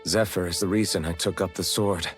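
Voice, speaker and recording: A man's voice: low-pitched, fairly even intonation, brisk, natural voice. An actor playing an earnest, resolute young rebel swordsman in a video game, direct and sincere. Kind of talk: English video game dub acting. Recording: music behind.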